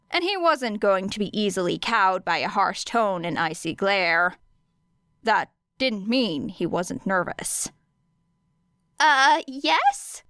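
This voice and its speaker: A woman narrates an audiobook story at an unhurried pace. Her pitch is medium and the recording is very dry, with no room sound. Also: fairly bright tone, no echo. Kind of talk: scripted fiction narration.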